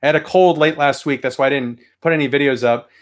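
A man's voice: fairly high pitch, quick pace. A man in his thirties, getting over a cold, chatting casually to a live stream chat from a toy-filled room at home. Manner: rambling, chatty, reads chat aloud. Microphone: webcam at desk.

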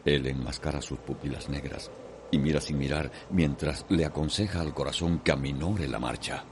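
A man with a Cuban accent narrating a radio soap in a measured, literary, dramatic style. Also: a bass voice, noisy background. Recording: music behind the voice.

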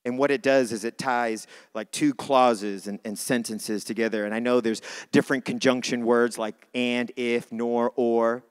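A man's voice: medium pitch, steady tone, a little slow, hardly any pauses, smooth. A man preaching a church sermon in an informal, conversational way, repeating phrases for emphasis. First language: not English (says English is not his first language).

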